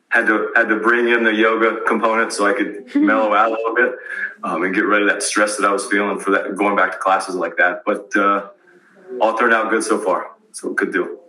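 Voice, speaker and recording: Bright-toned man, echoey and distant. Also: fairly low pitch, steady tone. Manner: reflective, halting with fillers and restarts. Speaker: university teacher. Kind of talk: casual team chat. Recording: echoey room, away from the mic.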